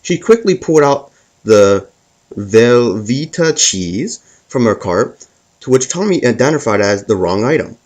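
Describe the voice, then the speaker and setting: A man narrates a scripted comic story as its host. His mid-pitched voice swings up and down in an animated way, and he trips over and repeats words as he reads. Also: measured pace. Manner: stumbles and repeats words while reading.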